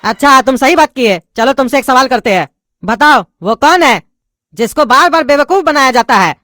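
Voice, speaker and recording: A woman's voice: sits high, expressive, normal speed, fairly bright tone, dry recording. A woman voicing an impatient male teacher who scolds and mocks his students, with a lilt. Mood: scolding. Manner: scolding, sarcastic.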